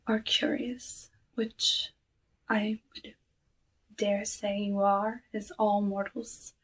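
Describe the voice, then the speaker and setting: Hushed, slow-talking witch, acted by a woman. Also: on the high side, distant mic, a little hiss or hum, low-quality recording. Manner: hushed, slow, deliberate, formal old-fashioned phrasing. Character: soft-spoken lonely witch of the woods, courteous, slyly menacing. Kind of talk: ASMR roleplay, soft talking and whispering.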